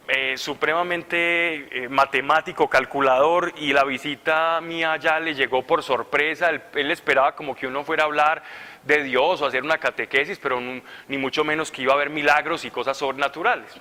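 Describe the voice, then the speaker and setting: A man preacher giving an animated, conversational sermon full of stories at a retreat. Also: fairly high pitch, moderate pace, big-room sound. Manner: animated, conversational, laughing at his own stories. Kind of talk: sermon with storytelling. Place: large hall at a retreat.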